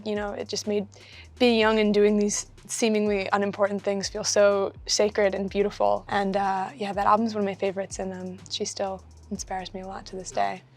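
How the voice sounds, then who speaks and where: A woman's voice: medium pitch, monotone, normal speed. A young woman singer-songwriter talking earnestly and reflectively in an interview. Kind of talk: casual interview chat.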